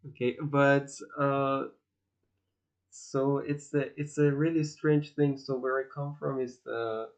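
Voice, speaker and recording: Slow, hesitant man from Iran. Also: medium pitch, level tone, dull audio. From northwest Iran. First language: Azeri and Farsi. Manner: hesitant, searching for words, many restarts and fillers. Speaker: livestream host. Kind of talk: casual chat with stream viewers.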